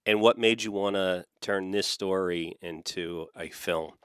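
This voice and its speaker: A man hosting a film podcast, reading a scripted introduction and then asking measured questions. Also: low-pitched, clear, resonant tone, no room sound, clean studio sound. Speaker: podcast host.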